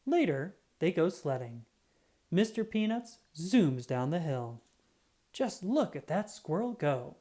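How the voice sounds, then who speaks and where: A woman reads a children's picture book aloud with a low, mellow voice. Her intonation is lively and animated, shaped simply and expressively for young listeners. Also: slow pace. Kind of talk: scripted children's storybook read-aloud.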